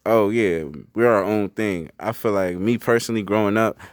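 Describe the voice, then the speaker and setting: A young rapper from Connecticut talking quickly and earnestly as a podcast guest, often adding "you know what I'm saying". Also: male voice, lowish voice, steady tone, no echo, clean studio sound. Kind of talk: casual interview talk.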